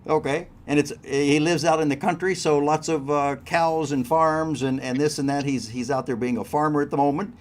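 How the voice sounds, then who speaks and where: A man's voice: on the high side, fairly even intonation, normal speed, few pauses. A man hosting a cruise news show and interviewing a guest, talking in a chatty way straight to his listeners. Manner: chatty, addresses crew members directly. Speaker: host.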